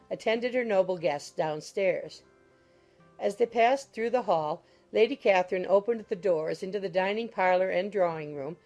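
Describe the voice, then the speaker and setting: A woman's voice: mid-range voice, darkish tone. A woman reading scripted literary narration as an audiobook narrator.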